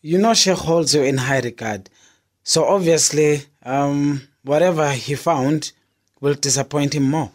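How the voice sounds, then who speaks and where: A man playing a calm madrasa student friend. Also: on the high side, unhurried. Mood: reassuring. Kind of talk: audio drama acting.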